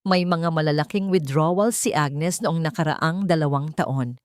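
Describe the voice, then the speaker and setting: A woman with a Filipino accent reading a scripted first-person dramatic story in a deliberate storytelling manner. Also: deep voice, no echo, studio quality. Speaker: narrator of an audio love-and-revenge drama.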